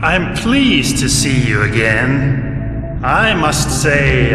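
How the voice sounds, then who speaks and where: A man's voice: mid-range voice, far from the mic. An actor playing a gloating old villain in a video game, pompous and mock-polite as he taunts. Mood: mocking menace. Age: older. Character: gloating old male villain, menacing and theatrical. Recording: cavernous long reverb, loud music behind.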